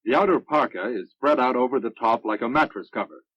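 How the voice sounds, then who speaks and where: An American man reading scripted narration for a military instructional film in a measured, instructive manner. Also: mid-range voice, lively intonation, fairly bright tone, no echo. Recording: muffled, dry vintage film soundtrack.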